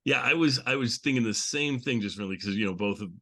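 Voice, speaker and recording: A man with a very bright, forward voice hosts a casual podcast. He rambles along conversationally, thinking out loud as he goes. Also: medium pitch, fast. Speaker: podcast host. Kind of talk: casual chat.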